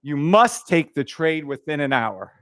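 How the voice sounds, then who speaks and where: A loud man hosts a trading livestream, blunt and profane, often laughing at his own jokes. His voice sits a little high, close and dry on a boom mic. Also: big pitch swings, unhurried, no room sound. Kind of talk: casual livestream chat.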